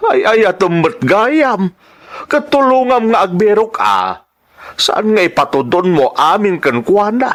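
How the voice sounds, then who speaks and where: An actor playing a stern, exasperated elderly father in a radio drama, scolding with drawn-out emphasis. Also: sits high, lively intonation, a bit bright.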